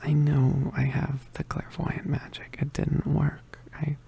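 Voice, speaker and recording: A performer voicing an injured, poisoned young male witch in an ASMR roleplay, weak and apologetic, mostly whispering in halting, pained breaths. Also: medium pitch, little pitch movement, warm tone, heavily acted. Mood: pained, weary. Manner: halting, pained, with sighs and throat clearing.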